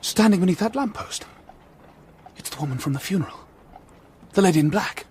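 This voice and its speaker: An actor playing an impulsive young gentleman detective in a period radio drama, urbane and teasing yet intense and haunted. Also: sits high, expressive, fairly quick, slight background noise. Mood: shaken.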